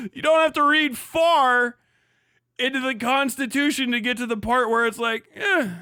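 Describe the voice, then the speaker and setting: A man's voice: very high-pitched, bright, forceful tone, smooth, no echo, studio quality. A man hosting a live stream, ranting heatedly and profanely with frequent laughs. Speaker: streamer host.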